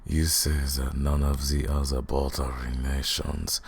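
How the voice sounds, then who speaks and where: A man's voice: extremely low pitch, level tone, creaky, husky, heavily acted. A man playing an ancient vampire lord, cold, mocking and condescending, in a theatrical, menacing roleplay monologue. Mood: cold condescension. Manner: slow, menacing, taunting, theatrical. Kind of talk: scripted ASMR roleplay monologue.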